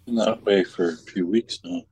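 Low-voiced man rambling casually. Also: steady tone, moderate pace, darkish tone, slightly rough, rough recording. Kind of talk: casual chat, rambling anecdotes.

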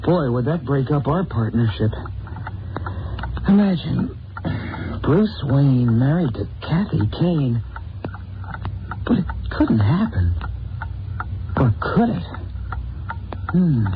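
A woman's voice: rumbling low, sing-song, slow pace, long pauses, heavily acted. A woman playing an earnest, anxious teenage boy sidekick in melodramatic old radio superhero style. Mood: brooding. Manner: wide-eyed, hesitant, melodramatic. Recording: noisy, tinny old recording.

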